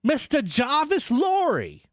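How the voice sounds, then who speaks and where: A reader voicing a rough, hoarse messenger man on horseback who calls out curtly, in a storybook. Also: mid-range voice, sing-song, extremely slow, fairly bright tone, no room sound, studio quality, pitched above the performer's own voice, heavily acted. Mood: calling out.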